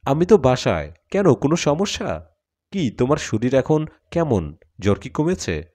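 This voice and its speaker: A man's voice: mid-range voice, big pitch swings, measured pace, warm tone, pitched above the performer's own voice. Earnest young student hero acted by a male narrator, troubled yet reassuring, swinging intonation, audio story.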